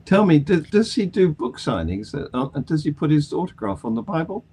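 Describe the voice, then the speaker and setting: A man's voice: fairly high pitch, big pitch swings, a little fast, warm tone, husky. An older man hosting a casual panel chat over a video call. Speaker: panel host. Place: room at home.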